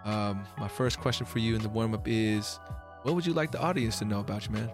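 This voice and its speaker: A man's voice: on the low side, fairly quick, music in the background. A man in his thirties hosting a podcast interview, easygoing and affirming as he asks open questions. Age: 30s. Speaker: podcast host. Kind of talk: casual interview chat.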